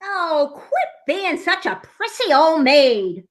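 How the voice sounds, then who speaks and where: A woman playing a cranky, sharp-tongued, cynical small-town woman, crabby and sarcastic, in a staged reading. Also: very high voice, very animated, slow, exaggerated voice. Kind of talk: community theater staged reading.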